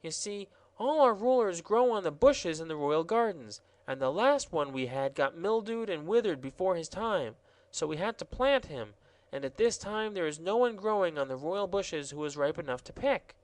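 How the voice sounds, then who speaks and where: A man doing a high, overwrought royal gardener. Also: expressive, normal speed, no echo. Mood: perplexed. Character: weepy, fawning royal gardener, adult man. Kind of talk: audiobook character voice.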